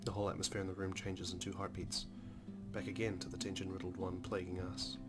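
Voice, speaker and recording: A man's voice: low-pitched, monotone, quick pace. A man hosting a let's play, reading visual novel narration aloud in a dramatic storytelling style. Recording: music clearly under the voice.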